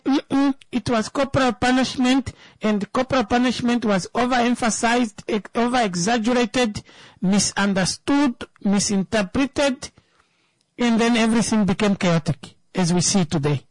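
A woman's voice: fairly high pitch, no room sound, low-quality recording. A woman, giving an emphatic opinion talk that turns into a personal story, repeating words for stress.

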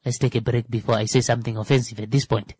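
A man's voice: mid-range voice, level tone, fast, darkish tone, slightly rough, no echo. A man hosting a radio talk show, joking and rambling with many fillers. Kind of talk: comedic monologue.

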